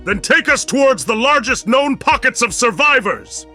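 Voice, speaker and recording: Bright, theatrical, menacing villain leader. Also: male voice, very high voice, lively intonation, moderate pace, soft background music, exaggerated voice. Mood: grandiose. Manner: theatrical, grandiose, mocking. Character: smug, menacing villain leader commanding monsters. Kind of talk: comic fan dub voice acting.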